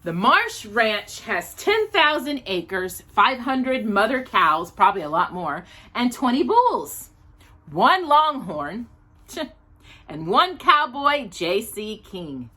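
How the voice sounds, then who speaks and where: Bright, lilting woman reading aloud. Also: a little high, big pitch swings, slow pace. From Texas, United States. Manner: conversational, curious, musing aloud. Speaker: host of a storytime read-aloud show. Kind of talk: reading a children's picture book aloud with chatty asides.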